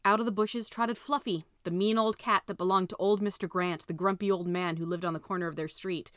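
A woman's voice: fairly low pitch, steady tone, fairly quick, fairly bright tone, dry recording, studio quality. A woman narrator reading a scripted children's story.

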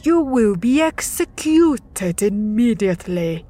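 A woman voicing a menacing male lord of the dead who speaks slowly and commandingly in a storybook. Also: medium pitch, sing-song, dark tone. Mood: stern. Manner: slow, commanding.

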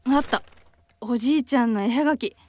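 A woman's voice: fairly high pitch, brisk, noisy background, close to an everyday voice. A woman playing an easygoing, dreamy young aspiring cartoonist who sighs and muses to herself in a radio drama. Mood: nostalgic. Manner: sighing, musing to herself.

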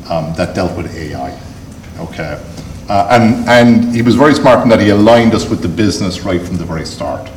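A man's voice: deep voice, a little mellow. A man speaking on a conference panel in a casual, chatty way with many restarts. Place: conference hall. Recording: echoey conference hall with faint noise.

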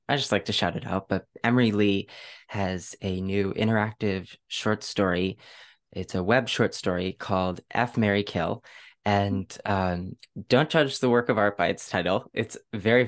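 A man with a very deep voice hosts a podcast. He talks casually and chattily, like he is catching up with a guest. His delivery is enthusiastic and gushing. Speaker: podcast host.

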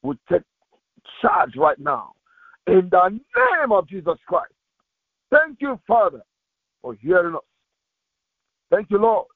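High-pitched male pastor praying, phone-like sound. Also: very animated, takes it slowly, long pauses, no room sound. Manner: insistent, repetitive, phrase-by-phrase delivery. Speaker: pastor leading prayer. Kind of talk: fervent Pentecostal prayer sermon with exhortation. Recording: thin, phone-like radio sound.

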